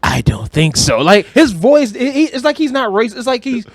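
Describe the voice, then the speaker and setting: A man's voice: very high-pitched, big pitch swings, moderate pace, dry recording. A man co-hosting a podcast in casual fan chat, informal and slangy, with an enthusiastic manner. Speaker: podcast co-host.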